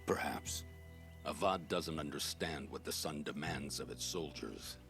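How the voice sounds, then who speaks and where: Casting: disgraced veteran captain, bitter but honorable, extremely low gravelly voice, grave game-drama delivery. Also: male voice, unhurried, fairly bright tone, faint music behind, heavily acted. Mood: resigned. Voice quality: deep, gravelly. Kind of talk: dramatic video game acting.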